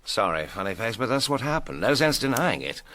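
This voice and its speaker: Bright, breezy British gentleman adventurer. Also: mid-range voice, sing-song, fairly quick. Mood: cool, defiant. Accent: British English. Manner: jaunty, cool, wordy. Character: breezy, cheeky gentleman adventurer, fast-talking and unflappable. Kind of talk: tongue-in-cheek vintage detective audio drama acting.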